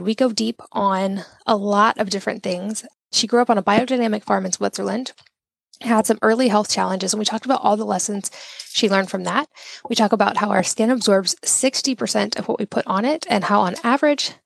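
A woman's voice: medium pitch, brisk, creaky, dry recording. A woman hosting a health podcast, introducing and interviewing her guest with upbeat enthusiasm. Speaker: podcast host.

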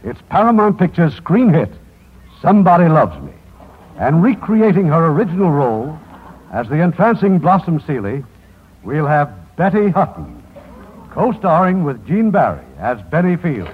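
Animated male host on tinny old radio. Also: fairly high pitch, normal speed, breathy edge. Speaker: radio show host and producer. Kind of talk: scripted 1950s radio hosting. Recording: thin, phone-like vintage radio recording.

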